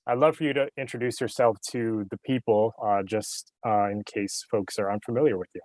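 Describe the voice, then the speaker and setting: A man's voice: lowish voice, steady tone, a little fast, a little mellow, no room sound. A man, an audiobook producer, hosting an interview in a friendly, upbeat way.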